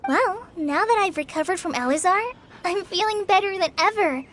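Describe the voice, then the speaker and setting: Cheerful young village girl, very high voice, sweet, polite, video game, faint noise. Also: animated, moderate pace, fairly bright tone.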